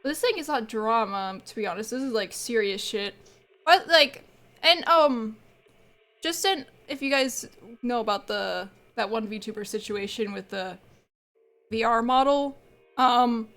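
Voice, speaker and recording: A woman's voice: fairly high pitch, unhurried, a bit bright. Stuffed-up woman rambling on a stream. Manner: rambling, chatty, laughs often. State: stuffed up from a cold and allergies. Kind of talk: casual livestream chat with viewers.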